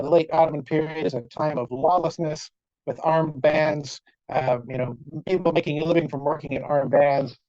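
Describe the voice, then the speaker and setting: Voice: mid-range voice, mellow, no room sound. A conference speaker giving an academic lecture over a video call, with wry, dry humour and frequent fillers and restarts. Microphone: computer mic on a video call. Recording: dull, lo-fi video call audio.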